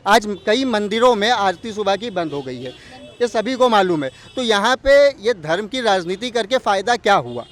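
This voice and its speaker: High-pitched man arguing his point. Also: lively intonation, normal speed, a bit bright. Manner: emphatic, argumentative, rhetorical questioning. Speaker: local community representative interviewed on the street. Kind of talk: street interview, opinion on politics. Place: outdoors on an urban street. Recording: outdoor street noise with traffic.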